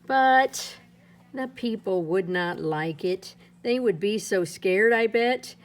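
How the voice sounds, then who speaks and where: A woman reading a rhyming children's picture book aloud in a playful, lilting way, her pitch swinging up and down. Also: takes it slowly. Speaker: storybook reader.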